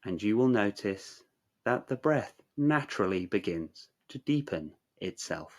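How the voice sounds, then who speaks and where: A man narrating a guided meditation in a measured, soothing, instructional way, leaving long pauses. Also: lowish voice, expressive.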